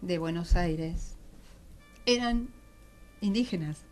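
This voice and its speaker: A woman's voice: on the low side, slow, darkish tone, faint music behind. A woman with an Argentine Rioplatense accent, explaining history and craft conversationally as an enthusiastic storyteller in a radio interview. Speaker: radio show guest. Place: radio studio.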